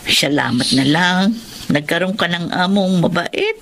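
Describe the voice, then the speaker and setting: A woman's voice: on the low side, unhurried, a bit bright, a little hiss or hum. A woman playing a caring older mother of a grown son in a Tagalog radio drama. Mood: caring, approving.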